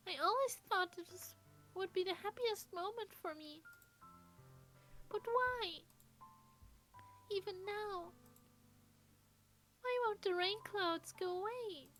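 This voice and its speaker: A woman's voice: very high-pitched, fairly bright tone, exaggerated voice. A woman voicing a shy, sad teenage anime girl in love in a visual novel, with a tender, hesitant delivery. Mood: sad, confused.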